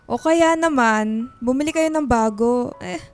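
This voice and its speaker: A woman's voice: high voice, unhurried, clean tone, soft background music. A woman playing a nagging, demanding middle-aged mother who whines and complains in a radio drama. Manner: whiny, complaining.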